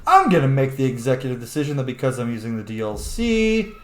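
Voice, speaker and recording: Animated, sweary man commentating a game. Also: medium pitch, fast. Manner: sweary, chatty, theatrical. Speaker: host of a video game let's-play. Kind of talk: casual gaming commentary. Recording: roomy echo.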